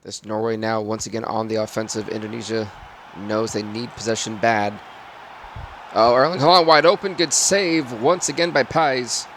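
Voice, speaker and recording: A man with a raspy voice doing live football game commentary and stream chat in sportscaster phrasing. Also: on the low side, normal speed, fairly bright tone, a little hiss or hum.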